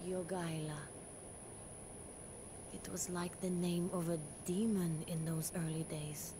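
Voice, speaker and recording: A woman playing a young, pious medieval queen who narrates her life in a solemn, measured and wistful way for a historical game. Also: on the low side, fairly even intonation, background noise. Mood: wistful, reflective. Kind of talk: scripted dramatic narration of a historical game campaign.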